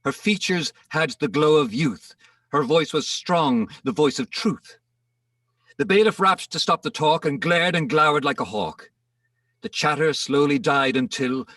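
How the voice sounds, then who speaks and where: A man giving a theatrical, measured dramatic reading of rhyming comic verse as the narrator. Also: on the high side, sing-song, a bit bright, no echo, recorded from a distance. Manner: theatrical, measured storytelling delivery. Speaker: poem narrator.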